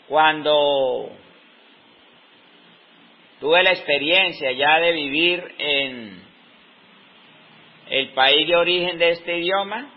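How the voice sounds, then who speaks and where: A Colombian man in his fifties, giving an unscripted talk on teaching with slow, reflective pauses in the middle of his sentences. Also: on the high side. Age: 50s. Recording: dull lo-fi recording.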